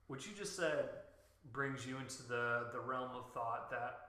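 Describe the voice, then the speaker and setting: A man's voice: mid-range voice, steady tone, a little slow, sharp tone. A man co-hosting a casual podcast, reacting and asking questions, on an echoey amateur recording. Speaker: podcast co-host.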